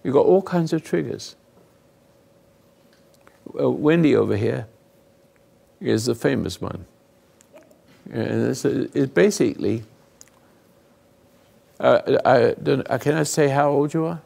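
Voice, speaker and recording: An older man hosting a talk, giving rambling, earnest moral teaching to a live group, often dropping into hushed asides. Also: medium pitch, animated, takes it slowly, pauses often. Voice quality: gravelly, husky. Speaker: host and spiritual counselor.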